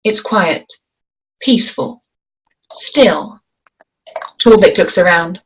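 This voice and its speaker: Woman, table read narrator, slow, lowish voice, measured. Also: expressive, slight background noise, rough recording. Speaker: narrator reading stage directions.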